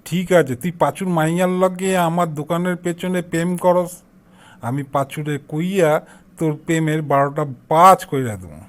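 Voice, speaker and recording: A man's voice: on the high side, normal speed, close to an everyday voice. A man playing a crafty, pushy shopkeeper in an amateur comic cartoon, scolding and badgering in an eastern Bengali accent. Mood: threatening. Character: pushy, crafty male shopkeeper demanding unpaid money.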